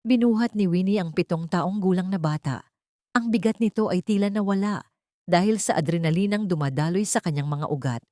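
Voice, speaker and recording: A woman's voice: lowish voice, fairly quick, mellow, no room sound, studio quality. A woman with a Filipino accent reading a scripted story as a dramatic audiobook narrator.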